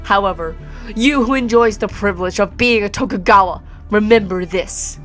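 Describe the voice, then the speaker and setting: A woman's voice: mid-range voice, moderate pace, fairly bright tone, soft background music, lightly acted. A woman reading the part of a mad, bitter male villain sorcerer in a grandiose, formal video game monologue. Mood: bitter, vengeful.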